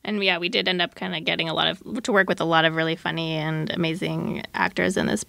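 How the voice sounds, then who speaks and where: A woman with a fairly low, creaky voice talks casually as an interview guest. She hedges as she goes, in a tentative, conversational way, recorded dry and close. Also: little pitch movement, quick pace, pushed and bright, dry recording. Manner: hedging, tentative, frequent "like" and "you know". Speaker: podcast producer and guest.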